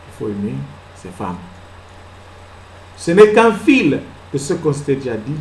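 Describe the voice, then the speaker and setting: A man preaching a sermon as pastor. He fires rhetorical questions, moves between soft asides and loud exclamations, and acts out little scenes. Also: medium pitch, big pitch swings, measured pace, reverberant, low-quality recording. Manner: acts out little scenes and laughs at his own jokes.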